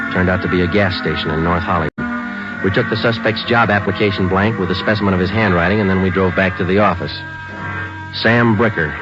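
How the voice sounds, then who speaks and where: A man acting as a low-voiced American police detective narrates an old radio crime drama. He is terse and matter-of-fact, rattling out facts quickly and without show. The recording is dull, with music. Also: natural voice. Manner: deadpan, matter-of-fact, clipped. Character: terse, businesslike male police detective sergeant narrating his case. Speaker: lead actor and narrator.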